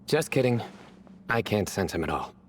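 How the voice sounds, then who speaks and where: Deadpan, lowish-voiced serious young man. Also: moderate pace, a little hiss or hum. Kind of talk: video game character acting.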